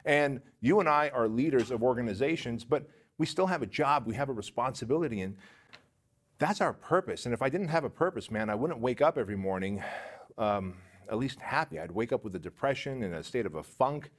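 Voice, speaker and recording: A man from Armenia co-hosting a podcast in a studio, telling stories in an animated, casual way. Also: medium pitch, fairly quick. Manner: animated storyteller, upbeat show opener. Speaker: podcast co-host.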